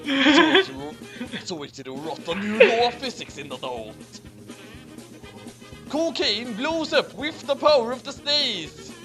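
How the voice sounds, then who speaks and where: Animated, unhurried reader with wide swings in pitch. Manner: stumbling over garbled text, repeating phrases. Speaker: reader of a parody fan fiction. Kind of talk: comedic dramatic reading of badly written fan fiction.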